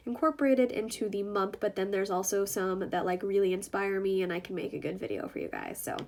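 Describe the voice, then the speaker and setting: A woman's voice: medium pitch, lively intonation, a little fast, a little mellow. A woman talking informally to her viewers, explaining things in a casual, conversational behind-the-scenes vlog style. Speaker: ASMR video creator and vlogger.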